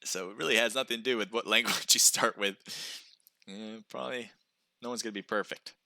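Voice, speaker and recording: A man casting live mobile esports matches, calling fights with quick excitement and chatting with viewers between games. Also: lowish voice, creaky, dry recording, clean studio sound. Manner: excited calls during action, chatty when reading chat. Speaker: esports caster and stream host. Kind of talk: live play-by-play commentary.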